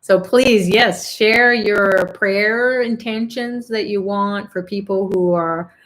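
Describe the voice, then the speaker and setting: Casting: upbeat middle-aged woman, spiritual call host, casual and chatty, medium pitch, frequent small laughs. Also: slow, low-quality recording. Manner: upbeat, warm-hearted, laughs often, many fillers. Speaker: host of a chanting prayer call. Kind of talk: casual spiritual group chat, reading comments.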